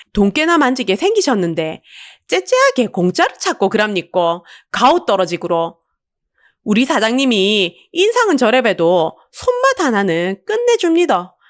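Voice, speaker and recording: A woman's voice: a little high, no room sound, clean studio sound, pitched above the performer's own voice. A woman voicing a bold, cheeky middle-aged street-food seller with a Busan accent, chatty and full of playful wheedling.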